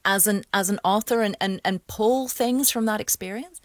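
A woman presenting a radio discussion programme, with friendly, halting restarts, on a studio mic. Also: mid-range voice, no room sound.

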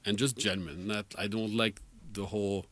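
A man's voice: on the low side, steady tone, moderate pace, a bit bright. A man in his forties whose first language is French, talking casually and a little rambling as a musician guest in a radio studio, laughing often. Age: 40s. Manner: chatty, laughing, rambling with fillers.

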